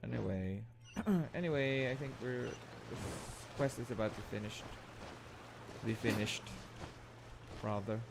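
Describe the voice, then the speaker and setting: Gravelly man streaming a game. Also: medium pitch, a little slow, background noise. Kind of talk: casual gameplay commentary. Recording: game music behind the voice.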